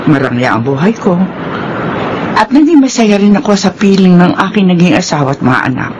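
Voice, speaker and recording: An actress playing a gentle, wistful older mother and grandmother in a Filipino radio drama. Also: fairly low pitch, sing-song, brisk. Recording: muffled, with loud ambient noise behind.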